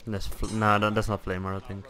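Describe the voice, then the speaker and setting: A man's voice: fairly low pitch, flat delivery, a little fast, sharp tone. A man bantering with friends in a game, using slang and plenty of swearing. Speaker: gamer playing with friends. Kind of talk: casual gaming banter. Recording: faint background noise on gaming voice chat.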